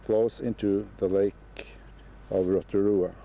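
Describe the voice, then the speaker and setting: A man talks slowly and haltingly over a home video, his voice low and very dark. It was caught on a camcorder outdoors by a lake, with a faint hiss and hum behind him. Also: fairly even intonation, gravelly. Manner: slow, halting commentary with pauses. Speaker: travel narrator.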